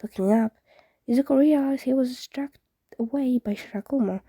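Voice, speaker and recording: A woman's voice: high voice, normal speed, warm tone, husky, no room sound, clean studio sound. A woman reading fan fiction aloud in an audiobook-style narration.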